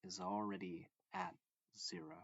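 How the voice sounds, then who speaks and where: A man with a deep, low-pitched voice reads a lesson aloud in short, deliberate phrases, like a teacher walking students through a textbook. The recording is muffled and lo-fi, with noticeable room echo. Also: big pitch swings, vocal fry, a bit bright, slightly rough. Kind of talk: instructional read-along of a math textbook. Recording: dull lo-fi, echoey room.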